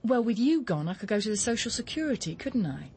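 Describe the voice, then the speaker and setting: An actress playing a blunt, impatient young mother living rough in a radio drama. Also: a little high, very animated, fast, a little mellow, a little hiss or hum. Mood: challenging. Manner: blunt, swearing, teasing.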